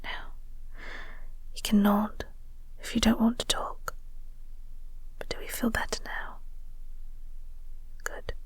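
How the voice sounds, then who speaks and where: Woman acting a whispery, breathy caring coworker. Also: fairly high pitch, level tone, dry recording, full character voice. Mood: soothing. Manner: gentle, reassuring, unhurried. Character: flirty, caring woman soothing a panicked coworker. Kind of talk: intimate audio roleplay.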